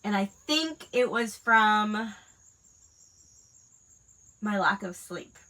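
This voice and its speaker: A woman's voice: mid-range voice, lively intonation, drawn-out words, bright, forceful tone, low-quality recording. A woman with a stuffy head cold, chatting casually and rambling with fillers while she crafts, a mother of school-age kids. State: congested from a cold. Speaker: crafting livestream host.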